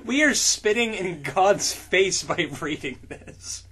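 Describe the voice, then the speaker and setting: A man's voice: high voice, animated, slow pace, pushed and bright, slight background noise. A man co-hosting a comedy podcast, reading fan fiction aloud in a mock-dramatic way with sarcastic asides and frequent laughter.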